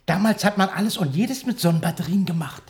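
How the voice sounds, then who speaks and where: An actor playing a reasoning, slightly superior time traveller in a radio play, explanatory and insistent. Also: male voice, sits high, fast. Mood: explaining. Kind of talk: German radio play acting.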